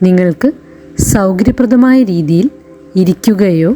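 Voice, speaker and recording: A woman guiding a meditation in a gentle, soothing way, in short phrases with long pauses. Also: medium pitch. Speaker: meditation guide. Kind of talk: guided meditation narration. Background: background music.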